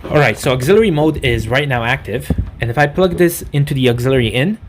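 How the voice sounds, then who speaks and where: A man giving an unscripted product review in a halting, rambling way, often correcting himself mid-sentence. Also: medium pitch, expressive, noisy background. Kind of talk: unscripted gadget demonstration.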